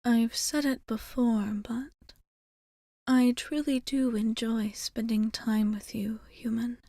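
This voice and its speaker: A woman playing a tender, old-souled vampire bartender in a whispered ASMR roleplay, speaking softly, deliberately and a little formally, with affection. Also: fairly high pitch, steady tone, no echo, studio quality. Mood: tender, confessing. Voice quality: soft, breathy whisper.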